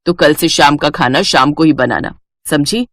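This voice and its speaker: A woman's voice: deep voice, normal speed, a bit bright, no room sound, studio quality, voice pushed down. A woman voicing a proud, bossy middle-aged Indian mother-in-law with a stern, nagging manner in a family moral story. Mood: stern, scolding.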